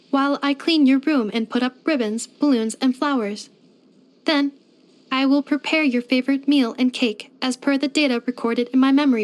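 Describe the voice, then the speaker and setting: A woman's voice: high voice, lightly acted. A woman voicing a polite, devoted household robot companion in a video game, speaking in formal, precise, computer-like phrasing. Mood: helpful, courteous. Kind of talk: scripted video game character voice lines.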